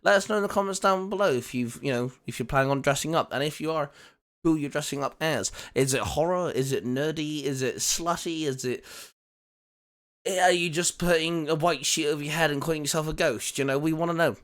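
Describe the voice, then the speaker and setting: A man's voice: fairly high pitch, a little fast, fairly bright tone. A man co-hosting, rambling through a friendly outro with plenty of fillers. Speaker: co-host doing the outro. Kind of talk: casual channel plug and outro.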